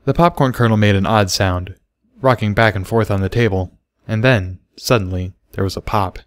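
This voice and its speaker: A man's voice: fairly low pitch, normal speed, no echo. A man reading scripted story narration as an audiobook reader.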